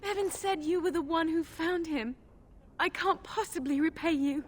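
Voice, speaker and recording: A woman's voice: very high-pitched, normal speed. A woman playing a devout, earnest and grateful young village woman in a video game. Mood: relieved, grateful. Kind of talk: video game dialogue acting.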